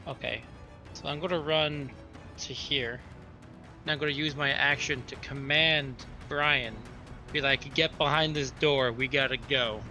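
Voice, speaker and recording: A man's voice: medium pitch, fairly even intonation, a little slow, pushed and bright. A man talking casually as a player in a tabletop roleplaying game, blunt and assertive. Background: music.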